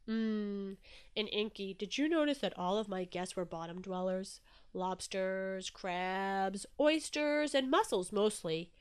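A woman's voice: mid-range voice, slow, smooth, natural voice. A woman voicing a genial, slightly fussy eel in a children's story, chatty and politely genteel.

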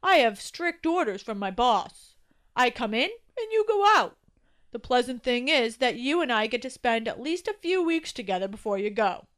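A chipper grown-up tooth from a children's storybook, acted out by a woman. He speaks in a fairly high voice with brisk, matter-of-fact confidence. His manner is reassuring and a little patronizing, like an elder addressing a youngster. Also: very animated, fairly bright tone. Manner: upbeat, brisk, patronizing toward the little one.

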